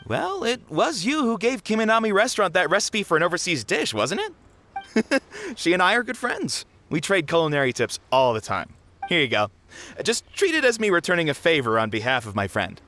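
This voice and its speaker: Breezy, wildly animated food-stall cook. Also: female voice, lowish voice, moderate pace, slight background noise. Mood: easygoing, generous. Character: hearty, casual, generous food-stall cook. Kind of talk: English-dubbed anime-style game acting.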